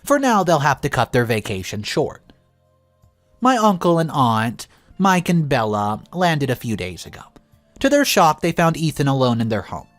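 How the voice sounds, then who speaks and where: A narrator delivers a scripted first-person story with very lively, rising and falling intonation. The voice sits in a middle register and is recorded very dry, with music playing behind it. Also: medium pitch, moderate pace, no echo.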